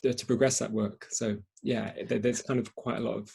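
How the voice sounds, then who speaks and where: A man's voice: mid-range voice, level tone, brisk, gravelly, a little mellow, husky. A man hosting a panel discussion in spontaneous talk, hesitantly repeating and rephrasing as he goes. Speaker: event host and moderator.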